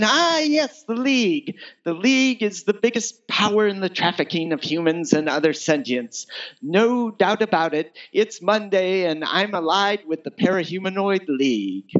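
A man's voice: high-pitched, lively intonation, normal speed, dull audio. Man voicing an arch, swooping amoral countess spy. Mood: gleefully scheming. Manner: arch, sardonic, melodramatic. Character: amoral, theatrical female spy posing as a countess. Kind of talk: first-person audiobook reading of space opera.

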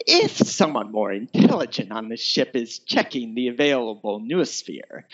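A man's voice: fairly high pitch, dull audio. A man reading his novel as dramatic first-person audiobook narration, theatrical and wry. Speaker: author reading own novel.

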